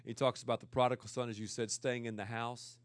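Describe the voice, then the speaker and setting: A pastor with a naturally loud voice who teaches with energy and repeats words for emphasis. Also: male voice, medium pitch, little pitch movement, fast talker, no echo, studio quality. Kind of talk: energetic teaching talk to church leaders.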